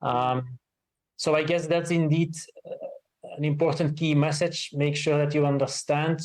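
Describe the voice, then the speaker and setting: A man co-authoring a book, answering interview questions in a thoughtful, measured way with pauses. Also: a little high, level tone, a little mellow, low-quality recording. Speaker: co-author guest. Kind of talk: casual podcast interview.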